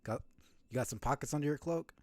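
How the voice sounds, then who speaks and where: A man's voice: mid-range voice, quick pace, a little mellow, no room sound, voice pushed up, natural voice. A man voicing a small, greedy, cheeky thieving bug from a video game, with a wheedling rogue's patter. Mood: sly, wheedling.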